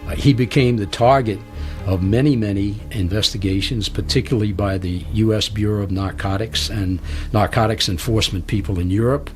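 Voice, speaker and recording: A man's voice: lowish voice, fairly even intonation, brisk, soft background music. A man explaining events thoughtfully in a documentary interview.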